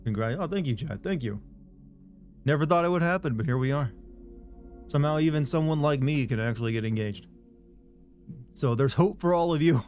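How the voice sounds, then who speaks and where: A man's voice: a little high, animated, fairly quick, pauses often, darkish tone, faint music behind. A man streaming a game, chatting casually and reading out viewer messages, rambling and making self-deprecating jokes.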